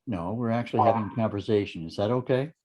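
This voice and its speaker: A man playing an inquisitive, smooth ad man in an amateur table read of a TV drama, conversational and probing. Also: lowish voice, fast, darkish tone, natural voice. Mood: curious, casual.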